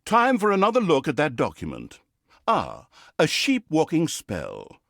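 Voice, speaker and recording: An actor playing a smug, petty narrator of a comic fantasy video game, pompous and sardonic, breaking the fourth wall in hammy storytelling. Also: male voice, a little high, wildly swooping pitch, a little slow, gravelly, a bit bright, no echo, studio quality, full character voice. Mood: gleeful, sly.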